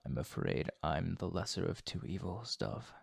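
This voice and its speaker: A man playing a courteous, tormented vampire fighting his bloodlust in an ASMR roleplay, speaking formally and apologetically in a soft, intimate way. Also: low-pitched, big pitch swings, normal speed, vocal fry, slightly rough, no echo, studio quality, heavily acted. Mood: grave, warning.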